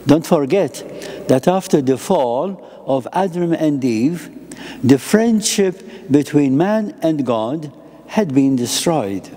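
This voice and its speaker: Old priest preaching slow in short bits, mellow voice, church reverb hanging behind. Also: male voice, on the high side, animated. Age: older. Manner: slow, deliberate phrasing in short measured pieces. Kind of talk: sermon. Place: chapel with an icon and a lectern, indoors. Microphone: lectern microphone.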